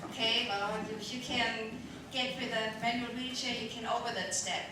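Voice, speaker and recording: A woman's voice: on the high side, fairly even intonation, moderate pace. A woman on a discussion panel giving an informal, anecdotal account in hesitant, piecemeal phrases. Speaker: panel speaker. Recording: very echoey, distant mic, noisy room.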